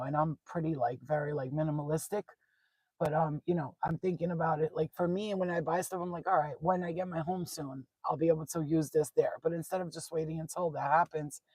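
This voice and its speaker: A woman from Albania with a husky, rough voice, talking informally as an online spiritual card reader, blunt and profane, repeating herself for emphasis. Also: low-pitched, a little mellow, no echo, poor audio. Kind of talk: informal, unscripted talk to followers.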